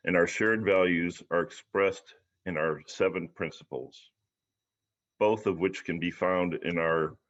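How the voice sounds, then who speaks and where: A man hosting a service, giving a formal, somewhat halting welcome and announcements that sound partly read out. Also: low voice, a bit bright. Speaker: service host. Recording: dull lo-fi video-call audio.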